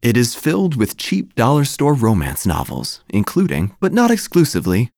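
A man playing a quirky investigator who narrates his own tale in a theatrical, deadpan, wry way. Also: medium pitch, no room sound, clean studio sound. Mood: wry, probing. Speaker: narrator and lead actor of an audio drama. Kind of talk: scripted first-person noir-like narration. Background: music.

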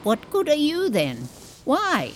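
A woman's voice: high-pitched, huge pitch swings, takes it slowly, a little hiss or hum, heavily acted. A woman playing a cantankerous, bawdy, nosy old woman in a comedic audio drama, blunt, wry and grumbling.

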